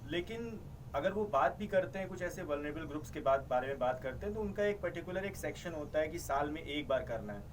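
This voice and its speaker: A man's voice: on the high side, lively intonation, a little fast, hardly any pauses. A man who heads programming at a radio station politely explains its work in an interview, calling the interviewer sir. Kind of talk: interview answers. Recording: distant mic with noisy background.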